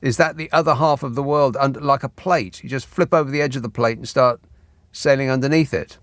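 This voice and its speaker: A man hosts a late-night radio phone-in and reads listeners' texts aloud. His delivery is dry and deadpan, and his sarcastic asides come close on a bone-dry studio mic. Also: medium pitch, fairly quick, no room sound. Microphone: studio microphone.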